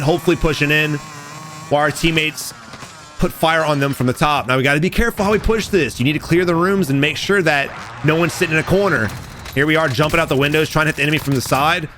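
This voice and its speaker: A man casually commenting over game footage, animated and profane, talking straight to his viewers, his voice going a little hoarse. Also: a little high. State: losing his voice. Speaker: gaming commentator.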